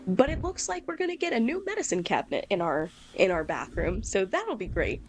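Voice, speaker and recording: A woman's voice: mid-range voice, very animated, a little fast, faint music behind. A woman hosting a livestream, chatting casually in an informal, self-deprecating way with some swearing. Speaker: livestream host.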